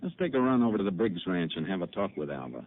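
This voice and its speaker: A steady lawman who questions people in a calm, clipped, matter-of-fact way. His voice is very deep. It comes over a thin, tinny old radio broadcast with a faint hiss. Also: fast talker. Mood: firm. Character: steady Texas Ranger investigator and narrator. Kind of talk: 1950s radio drama narration and acting.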